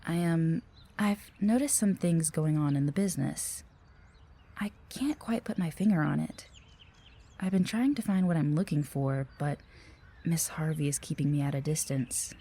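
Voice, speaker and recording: A woman plays an earnest office receptionist in an audio drama. Her voice is low and mellow, and she speaks politely and conversationally, with a careful, hesitant manner. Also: moderate pace, breathy edge. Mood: hesitant, secretive. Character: friendly, earnest, cautious female office receptionist. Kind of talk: audio roleplay acting.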